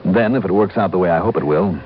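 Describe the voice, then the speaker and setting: An actor playing a brisk, shrewd insurance investigator in an old American radio drama, narrating his own case in a clipped, wry way. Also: low voice, a little hiss or hum, close to an everyday voice. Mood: intent. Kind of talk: mid-century American radio detective acting, first-person narration. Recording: muffled vintage radio recording, organ music.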